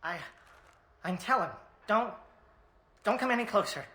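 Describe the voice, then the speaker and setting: High, halting, frightened young man. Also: animated, pushed and bright, roomy sound, heavily acted. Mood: panicked, pleading. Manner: halting, pleading. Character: frightened young man, panicky and shaky civilian. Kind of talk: video game voice acting.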